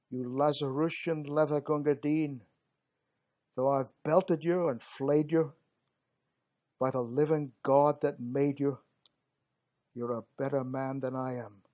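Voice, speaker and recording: A man reciting dramatic verse as a gruff working-class British soldier of colonial India, theatrical and emphatic, with slangy, clipped word endings. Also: fairly high pitch, takes it slowly, long pauses, darkish tone. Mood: fervent tribute. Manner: theatrical, emphatic, dropped g's and slangy phrasing.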